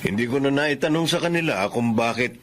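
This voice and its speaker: A man's voice: mid-range voice, animated, brisk, a bit bright, slight background noise, close to an everyday voice. A man voicing a polite young father who speaks respectfully to an elderly neighbor. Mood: curious. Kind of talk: radio drama acting.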